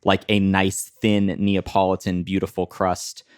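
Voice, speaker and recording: A man's voice: deep voice, completely flat delivery, a little slow, clean tone, no echo, studio quality. A man from Italy chatting casually as a podcast co-host, earnestly explaining his thoughts. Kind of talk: casual chat.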